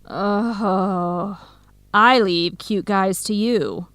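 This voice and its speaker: Radio drama role: ambitious young female, practical manner, medium pitch, no room echo. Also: drawn-out words, a bit bright, studio quality. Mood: matter-of-fact. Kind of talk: educational radio drama dialogue.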